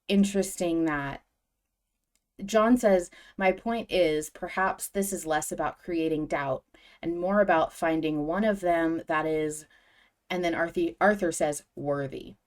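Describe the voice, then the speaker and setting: Low-voiced woman hosting a casual podcast. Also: darkish tone. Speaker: podcast host. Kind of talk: casual fan discussion podcast.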